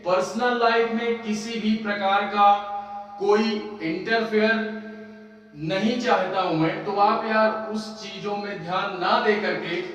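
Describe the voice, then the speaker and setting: A man's voice: sits high, fairly even intonation, takes it slowly, fairly bright tone. A young man in his twenties giving a casual coaching lecture, who keeps asking questions and answering them himself. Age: 20s. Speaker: teacher. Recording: echoey far-off room with faint music.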